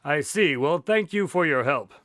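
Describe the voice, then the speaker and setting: An actor in a radio drama playing a polite, inquisitive police consultant, courteous and measured in his questions and narration. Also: on the high side, lively intonation, fairly bright tone, dry recording, studio quality. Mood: curious, businesslike.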